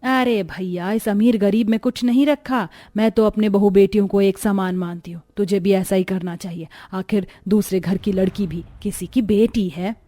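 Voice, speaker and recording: A woman's voice: mid-range voice, steady tone, normal speed, hardly any pauses, warm tone, no room sound, lightly acted. A woman voicing a kindly, sensible grandmother neighbour in a cartoon story, good-naturedly chiding and advising. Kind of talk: acted Hindi cartoon story dialogue.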